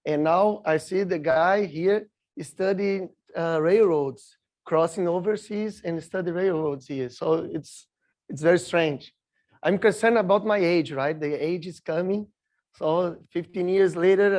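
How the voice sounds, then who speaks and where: A middle-aged man with a Brazilian Portuguese accent, giving a halting conference talk full of filler sounds, a Portuguese speaker working in English. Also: on the high side, a little mellow. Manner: halting, many filler sounds, apologetic about his English. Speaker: industry speaker at a rail seminar. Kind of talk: conference presentation with slides.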